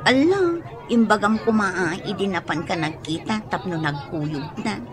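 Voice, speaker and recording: A woman's voice: mid-range voice, expressive, measured pace, crowd noise, close to an everyday voice. An actress playing a nosy, needling young woman in an Ilocano radio drama. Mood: coaxing. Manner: needling, pointed.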